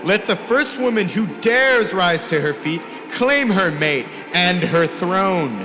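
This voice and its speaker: Man acting a booming, high-pitched, imperious young giant. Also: lively intonation, in a large hall. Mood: grand proclamation. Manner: booming, imperious, drawn-out proclamations. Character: huge young rebel proclaiming himself emperor, grandiose and commanding. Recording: music under the voice.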